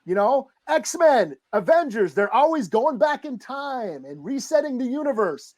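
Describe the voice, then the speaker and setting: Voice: medium pitch, sing-song, measured pace, a little mellow. A podcast co-host chatting and debating casually, in informal, slangy language with plenty of swearing. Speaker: podcast co-host discussing comic books.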